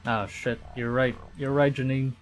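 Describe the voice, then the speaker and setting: A man giving casual, halting live game commentary and reading story text aloud. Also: mid-range voice, flat delivery, a little hiss or hum. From Philippines. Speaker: streamer.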